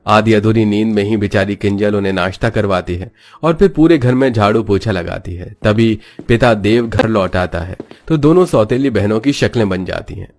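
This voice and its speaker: A man narrates a scripted moral story as its storyteller. His voice sits a little low, and music plays faintly behind him. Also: normal speed, darkish tone.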